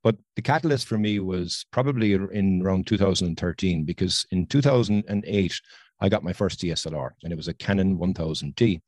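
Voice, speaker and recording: A man's voice: sits low, a little fast, darkish tone, no room sound, studio quality. A middle-aged Irish man from Ireland, a guest on a podcast interview, talkative and enthusiastic over a video call. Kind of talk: conversational interview.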